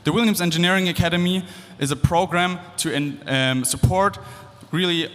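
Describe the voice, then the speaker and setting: A man's voice: high voice, moderate pace, a bit bright. A young man in his twenties from Germany, with a German accent, giving a casual, upbeat stage talk to students with loose fillers and restarts. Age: 20s. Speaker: guest speaker. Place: large hall. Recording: long hall reverb.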